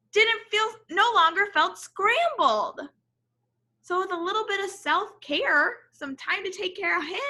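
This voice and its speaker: A woman's voice: extremely high pitch, bright, forceful tone. A woman reading a picture book aloud to children, expressively, with explanatory asides and questions for her young listeners.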